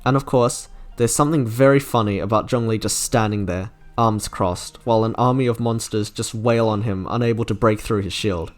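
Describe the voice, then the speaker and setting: A man's voice: lowish voice, moderate pace, few pauses. A man narrating a scripted, opinionated video review with enthusiasm. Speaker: video creator reviewing game character trailers. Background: music.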